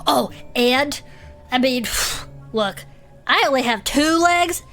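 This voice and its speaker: An excitable female adventurer in an audio drama. Her voice sits high, and she speaks with earnest, dramatic emphasis as a monster-show co-host. Light music plays underneath. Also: takes it slowly, full character voice. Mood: spooked, emphatic. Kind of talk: audio drama acting as a chatty fantasy podcast co-host.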